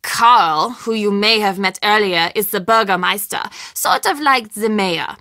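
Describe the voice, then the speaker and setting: An actress playing a friendly, superstitious castle shopkeeper in a video game, confiding and expressive. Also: female voice, mid-range voice, a little slow, dry recording, clean studio sound. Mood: exasperated, dry.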